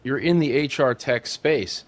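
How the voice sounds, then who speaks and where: A man hosting a podcast, opening with a brisk, upbeat intro and then chatting with his guest. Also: mid-range voice, no echo. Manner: upbeat, scripted-sounding intro. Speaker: podcast host. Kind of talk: podcast interview.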